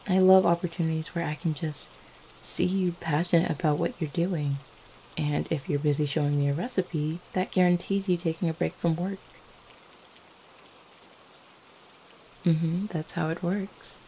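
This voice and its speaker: A gentle, devoted vampire girlfriend from an ASMR roleplay , acted by a woman. Her voice sits low and mellow, with a gravelly creak at the edges. She speaks tenderly and a little hesitantly, full of affection and reassurance. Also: normal speed, low-quality recording. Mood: playful, affectionate. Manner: soft-hearted, hesitant, tender. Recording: faint steady rain-like background noise. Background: rain falling.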